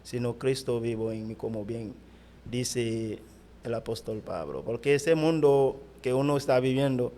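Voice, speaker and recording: A middle-aged Haitian man, a pastor whose first language is Haitian Creole, speaking Spanish haltingly with a Haitian accent in an interview. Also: medium pitch, warm tone, a little hiss or hum. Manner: halting, searching for words in Spanish. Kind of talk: interview answers.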